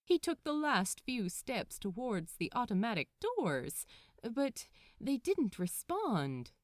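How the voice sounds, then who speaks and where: A woman narrating a story aloud in an expressive, measured, dramatic storytelling style. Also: fairly high pitch, no echo, studio quality. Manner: animated, measured, dramatic phrasing. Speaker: podfic narrator.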